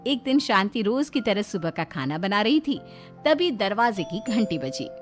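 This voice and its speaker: A woman narrating an animated moral story in an expressive storytelling style. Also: mid-range voice, moderate pace, soft background music.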